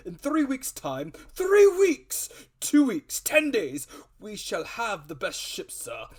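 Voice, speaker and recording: A man acts out a hot-headed, grandiose country squire in a storybook reading. The voice is pushed well up in pitch, loud and exclamatory, full of excitable bluster. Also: heavily acted. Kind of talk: dramatic audiobook character voice.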